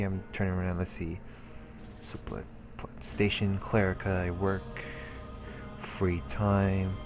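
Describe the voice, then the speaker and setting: A man's voice: low-pitched, a little mellow. A man hosting a let's play, reading visual novel text aloud with muttered, musing asides. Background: game background music.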